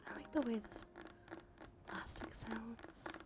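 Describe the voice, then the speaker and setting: A woman softly whispering casual ASMR chat to livestream viewers, relaxed and unhurried, with a slightly stuffy nose. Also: on the high side. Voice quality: soft, breathy whisper. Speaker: livestream host. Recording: background music under close whispering. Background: music.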